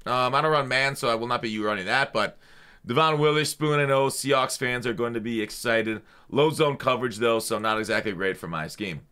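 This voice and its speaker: A man's voice: mid-range voice, a little fast, bright, forceful tone, clear, resonant tone. A man hosting a gaming channel, talking through the news in a casual, off-the-cuff way with blunt, slangy opinions.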